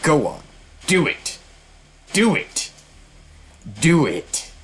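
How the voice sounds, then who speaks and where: A man's voice: lowish voice, unhurried. A man voicing a vengeful witch woman from hell, speaking in a theatrical, formal and taunting way. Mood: goading fury.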